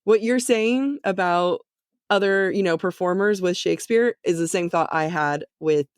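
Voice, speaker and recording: A woman's voice: fairly low pitch, moderate pace, smooth, dry recording. Chatty woman co-host with dry, close sound. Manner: rambling, peppered with "like" and "you know". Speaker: podcast co-host. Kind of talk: casual, chatty interview talk.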